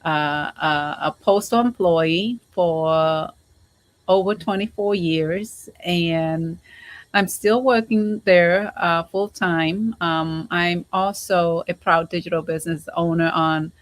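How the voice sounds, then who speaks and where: A middle-aged woman from Cambodia who grew up in the United States, speaking haltingly as a guest in a casual online interview. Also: on the low side. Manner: halting, repeats words.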